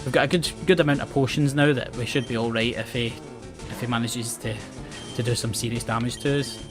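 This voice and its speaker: A man's voice: medium pitch, steady tone, brisk. A young man with a west coast Scottish accent, chatting casually about the game he is playing and about his life, rambling and self-mocking. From Scotland. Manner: chatty, rambling, self-mocking, often restarts words and laughs. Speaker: gaming channel host. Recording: game music playing faintly behind.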